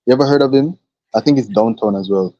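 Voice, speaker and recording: A man's voice: medium pitch, fast talker, mellow, no echo. A man co-hosting a podcast in casual chat, laid-back and hesitant with many fillers, on a dull lo-fi recording. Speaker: podcast co-host. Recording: dull lo-fi sound.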